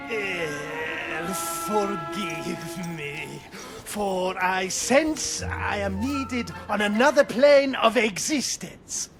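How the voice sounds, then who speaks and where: A grandiose fake fortune teller. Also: male voice, high-pitched, extremely slow, heavily acted. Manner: grandiose, theatrical. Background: music.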